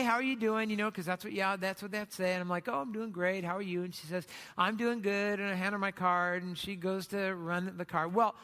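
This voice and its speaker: Male preacher, notably high register, conversational sermon delivery, explanatory and informal, long room reverb. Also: sharp tone. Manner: informal, explanatory, often checks in with "right?" and "you know". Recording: reverberant hall sound.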